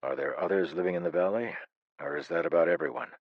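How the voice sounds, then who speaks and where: A man voicing a calm, wryly amused grown farmer in an audiobook, speaking in a calm, mildly amused way. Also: sits low, fast talker, vocal fry, husky, no room sound, muffled recording, exaggerated voice.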